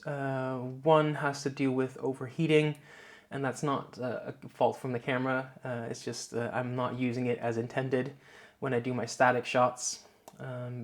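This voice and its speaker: Dutch man, mid-pitched, light Dutch accent, relaxed rambling vlogger with plenty of "uh"s, clip-on mic in a home office. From Netherlands. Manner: relaxed, many filler "uh"s, rambling explanations. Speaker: YouTuber. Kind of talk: casual vlog talk to camera. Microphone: wireless clip-on mic.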